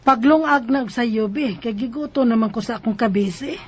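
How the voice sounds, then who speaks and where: A woman performs a bossy lady teacher and employer in a Filipino radio drama. The voice is high-pitched, with a Bisaya accent and an air of authority. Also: fairly quick, music in the background, close to an everyday voice. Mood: commanding. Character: bossy female schoolteacher employer, quick to scold.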